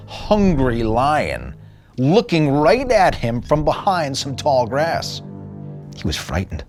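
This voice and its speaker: Male storybook narrator, expressive and theatrical, very wide pitch swings, faint music underneath. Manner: theatrical, dramatic phrasing and pauses. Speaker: storybook reader.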